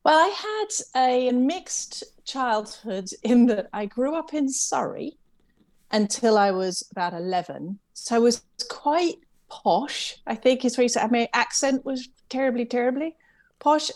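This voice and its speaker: A woman's voice: on the high side, darkish tone. Chatty middle-aged Englishwoman, reflective. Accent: English, with some American influence. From England. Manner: chatty, reflective, laughs lightly at herself. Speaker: interview guest, camp CEO. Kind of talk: conversational interview.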